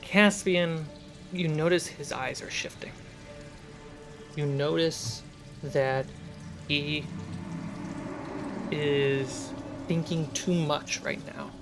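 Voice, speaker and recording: A man narrating a tabletop fantasy game as game master, in a measured, suspenseful way with dramatic pauses. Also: fairly high pitch. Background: cinematic music score.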